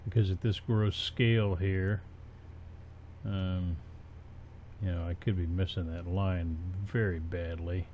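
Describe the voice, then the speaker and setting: A man with a lowish voice talks slowly through a casual software tutorial. He is halting and unscripted, thinking aloud as he works. The recording is dull and lo-fi, with faint noise behind him. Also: fairly even intonation. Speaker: tutorial presenter.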